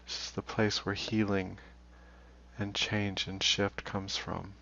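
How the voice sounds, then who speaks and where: A man leading a guided meditation in a slow, deliberate way, leaving long pauses. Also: lowish voice, flat delivery, vocal fry, sharp tone. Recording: dull, roomy recording.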